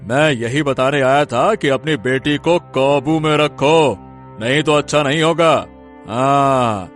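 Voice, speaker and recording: A man's voice: medium pitch, slow, soft background music, natural voice. A man playing a stern, domineering, vengeful village big man in a cartoon story, scolding and threatening. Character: menacing, vengeful village big man scheming against a young woman. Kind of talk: Hindi cartoon story acting.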